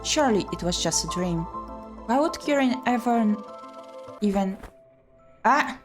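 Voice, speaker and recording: A woman streamer reading a visual novel aloud in an expressive, theatrical way. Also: a little high, a little slow. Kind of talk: dramatic read-aloud narration. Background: game music.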